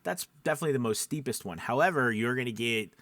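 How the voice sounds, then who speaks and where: A man's voice: medium pitch, animated, fast talker, fairly bright tone, dry recording. A man giving casual, instructional advice as a voice-over coach, conversational and often checking in with the listener. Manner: conversational, frequent check-in tags like right and okay. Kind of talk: casual instructional chat to camera.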